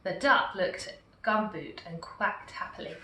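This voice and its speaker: Woman reading a picture book aloud, slow and animated, bright voice, echoey room far from mic. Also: mid-range voice, a little hiss or hum. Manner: slow, expressive, warmly animated. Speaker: storyteller.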